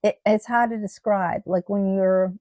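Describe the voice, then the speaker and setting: A middle-aged woman from the United States talking in a personal vlog, halting with many ums and restarts, her voice tired and starting to go. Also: mid-range voice, steady tone, warm tone, no echo. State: losing her voice. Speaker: vlogger.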